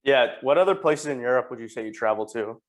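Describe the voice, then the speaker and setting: Fast-talking young male podcast host. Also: mid-range voice, lively intonation. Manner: friendly, quick, restarts phrases with "uh". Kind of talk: casual interview hosting.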